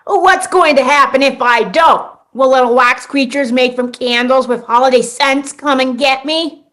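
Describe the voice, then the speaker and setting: A woman's voice: high voice, normal speed, a bit bright. An actress playing an exasperated, sarcastic young woman stuck in a sitcom world, in a comedic radio play. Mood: skeptical, annoyed. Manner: sarcastic, incredulous.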